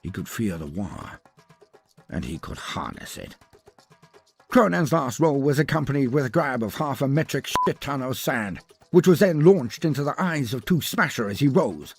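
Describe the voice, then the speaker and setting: A man delivering dramatic scripted narration of a fantasy battle story, theatrical, with deliberate pauses and emphasis. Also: medium pitch, very animated, soft background music.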